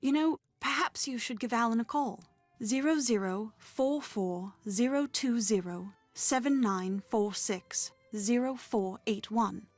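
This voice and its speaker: Young bride-to-be, courteous, concerned, fairly high voice, dry sound, music behind, video game. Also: female voice, measured pace, dry recording. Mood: concerned, explaining. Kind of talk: video game character dialogue.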